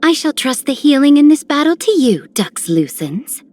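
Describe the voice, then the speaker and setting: A woman voicing a haughty, sharp-tongued commander in a video game, imperious and curt. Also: high voice, big pitch swings, moderate pace. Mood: stern. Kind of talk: scripted game character lines.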